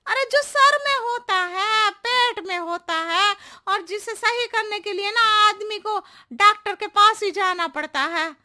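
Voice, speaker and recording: A woman's voice: very high voice, unhurried, few pauses, pushed and bright, full character voice. A woman voicing a scatterbrained, cheeky young housemaid in a cartoon, who talks in roundabout riddles and wheedles for her pay. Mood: riddling. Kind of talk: Hindi cartoon voice acting.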